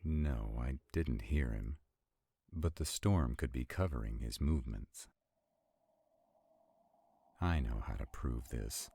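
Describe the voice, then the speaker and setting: A man playing an arrogant, stubborn vampire in a roleplay audio drama, with a deep, gravelly, husky voice and a haughty, deliberate delivery. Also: expressive, dark tone, no room sound, heavily acted. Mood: irritated, demanding. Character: arrogant, stubborn male vampire, irritated and skeptical.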